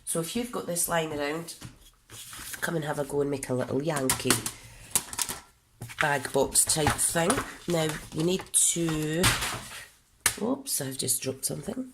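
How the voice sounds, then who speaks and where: A woman's voice: low voice, a little slow, pauses often, heavy background noise. A woman from the UK demonstrating a papercraft project, congested and coughing, chatty and correcting herself as she goes. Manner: chatty, stops to self-correct and explain. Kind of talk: casual craft tutorial.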